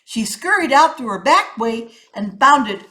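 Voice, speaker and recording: A woman's voice: high-pitched, big pitch swings, measured pace, pushed and bright, poor audio. A woman narrating a children's story as a storyteller, with a theatrical, emphatic delivery. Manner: theatrical, emphatic with rhythmic sound words.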